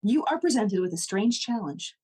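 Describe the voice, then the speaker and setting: A woman with a slightly husky voice co-hosting a laid-back podcast. She talks in a relaxed, dry manner, and reads prompt cards aloud in a plain, even delivery. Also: medium pitch, moderate pace. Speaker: podcast co-host. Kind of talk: casual chat, reading prompt cards aloud.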